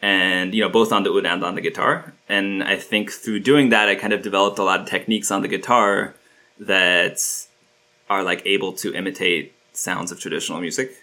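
A man's voice: low voice, steady tone, brisk, pushed and bright. A man from Turkey, a guest musician, talking casually in an interview, rambling with many fillers and restarts.

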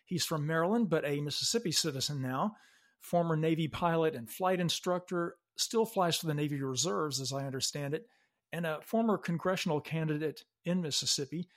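A man hosting a podcast, introducing his guest and asking questions in a measured, slightly hesitant way. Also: fairly high pitch. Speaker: podcast host. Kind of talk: interview hosting.

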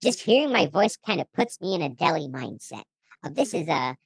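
A man's voice: fairly high pitch, very animated, brisk, breathy edge, no echo, heavily acted. A man voicing a young dragonborn girl for a tabletop game, speaking through a voice changer that makes him sound feminine. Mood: light, chatty.

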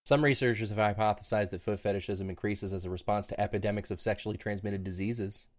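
A man's voice: fairly low pitch, steady tone, fast. A man co-hosting a podcast, reading research out in a dry mock-academic way with laughing asides. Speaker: podcast co-host. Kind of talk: casual podcast chat, reading research aloud.

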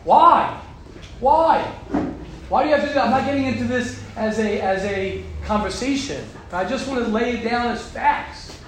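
Forceful high-voiced man in an echoey room. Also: normal speed. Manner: forceful, repeats phrases for emphasis. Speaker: teacher addressing a group of young men. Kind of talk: emphatic religious talk to a group. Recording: very roomy echo with faint background hum.

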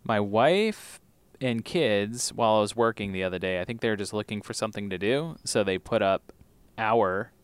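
A woman's voice: extremely low pitch, animated, normal speed, clear, resonant tone, no echo. A woman co-hosting a podcast, chatting casually in a playful, jokey, exclamatory way. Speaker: podcast co-host. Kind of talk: casual chat.

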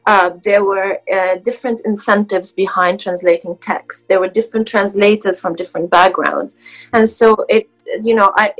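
A woman's voice: mid-range voice, steady tone, moderate pace, faint music behind, poor audio. A woman whose first language is Arabic, speaking as a guest scholar in a casual academic conversation, with many fillers and restarts.

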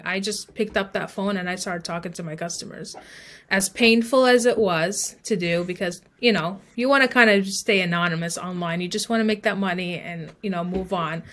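A woman with a medium-pitched voice talks as a guest expert in an interview. She explains things informally from her own experience, leaning on conversational filler phrases as she goes. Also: a bit bright. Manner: informal, explanatory, frequent "like" and "you know". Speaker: podcast guest, email marketing expert. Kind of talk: conversational interview, telling own business story.

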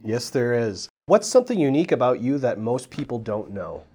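A man's voice: medium pitch, sing-song, a little fast, warm tone, breathy edge. A man hosting an interview podcast, asking questions in an upbeat, friendly manner. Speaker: podcast host, communications manager.

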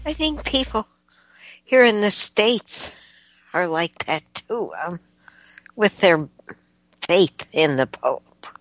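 A woman on a casual podcast chat, speaking in a measured, reflective way. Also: mid-range voice, sing-song, no echo, highs cut off.

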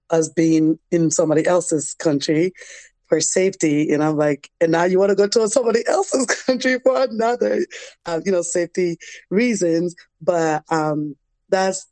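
A woman's voice: deep voice, mellow, no echo. A young adult woman, telling personal stories in a reflective, conversational interview style. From Liberian, grew up in a refugee camp in West Africa. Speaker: interview guest, dance program founder.

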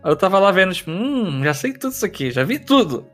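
A man co-hosting a casual film review podcast, animated and acting out quotes as he tells stories. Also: high-pitched, fast talker, soft background music. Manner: animated storyteller, acts out quotes in raised voice. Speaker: podcast co-host.

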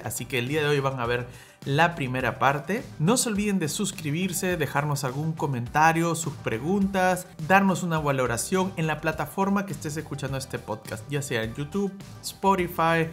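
Podcast host guy, kinda high voice, friendly, lots of ems and ehs, faint music under it. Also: fairly bright tone. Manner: friendly, thinks aloud with many fillers. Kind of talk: interview podcast.

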